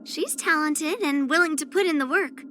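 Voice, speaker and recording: Bright, high-voiced girlish young swordswoman. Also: normal speed, faint music behind. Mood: matter-of-fact, confident. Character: spirited young swordswoman, blunt, proud, girlish. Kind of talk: character voice lines for an anime-style video game.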